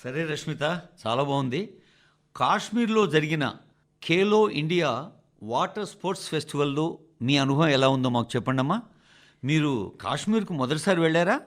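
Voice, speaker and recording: A man reading a scripted, formal radio address in speech, phrasing it in a measured, emphatic way with deliberate pauses. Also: fairly high pitch, lively intonation, lightly acted. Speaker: radio reader of a translated address.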